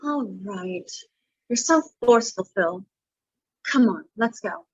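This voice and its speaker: A woman's voice: medium pitch, lively intonation, slow pace, highs cut off. An actress playing a sassy, scheming woman with a mouthy, sarcastic manner in 1940s radio detective style. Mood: jaded, sarcastic. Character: sassy, scheming, jaded female double-crosser.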